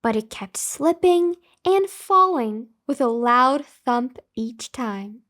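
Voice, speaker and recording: A woman storyteller with a high voice reads a children's bedtime tale. She goes very slowly and enunciates with care and expression. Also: animated, fairly bright tone. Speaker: storyteller and host.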